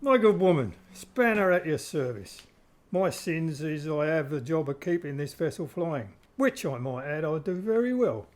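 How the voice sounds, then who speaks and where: Man as jaunty ship's mechanic, boastful, florid, flirtatious, higher-pitched male voice, amateur radio drama. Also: very animated, normal speed. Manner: florid, flirtatious, self-satisfied. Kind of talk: amateur audio drama acting.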